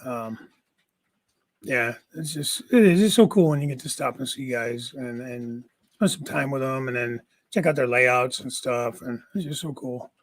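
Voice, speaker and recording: A man with a raspy voice hosting a casual livestream from home, greeting viewers by name and chatting. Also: medium pitch, normal speed. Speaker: livestream host.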